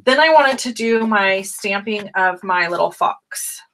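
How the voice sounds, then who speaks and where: A middle-aged woman, a little raspy from a cold, hosting a casual live stamping tutorial and greeting viewers by name. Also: medium pitch, expressive, unhurried, a bit bright, low-quality recording.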